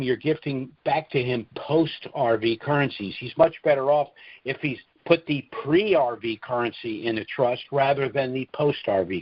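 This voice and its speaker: A man hosts a conference call over a dull, lo-fi phone line with little room sound. His voice sits at an ordinary middle pitch, and he advises callers informally, often repeating a phrase to drive it home. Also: dry recording. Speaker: call host and trust seller advising callers. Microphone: telephone conference line.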